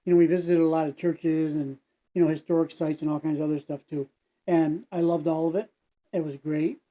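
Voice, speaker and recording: A man's voice: on the high side, little pitch movement, fairly quick, dark tone, poor audio. A man hosting a reaction video, talking in a casual, folksy way that wanders off into tangents and back, with lots of fillers. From United States. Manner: meandering, folksy, digressive with many fillers. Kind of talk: casual rambling commentary.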